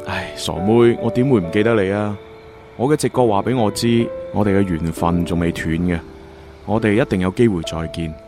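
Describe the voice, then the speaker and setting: A man's voice: lowish voice, moderate pace, over music, close to an everyday voice. A man acting a caring young boyfriend in a Cantonese radio drama, earnest and playfully teasing. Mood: tender, reassuring.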